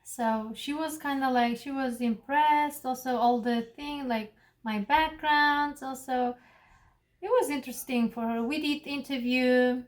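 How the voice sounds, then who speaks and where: A woman in her late twenties from Kazakhstan, who is not a native English speaker, chatting casually in an interview with relaxed fillers and restarts. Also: high-pitched, a little slow, reverberant. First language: Kazakh or Russian. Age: 20s. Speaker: interview guest.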